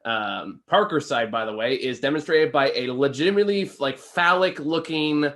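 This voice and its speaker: A man's voice: medium pitch, lively intonation, normal speed, fairly bright tone. A man co-hosting a casual film review chat, speaking informally and hedging as he trails into asides.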